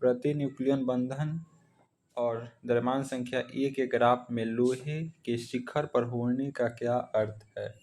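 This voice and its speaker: A man's voice: medium pitch, fairly even intonation, measured pace, darkish tone. An Indian man teaching exam practice, reading out questions and following each with a short answer.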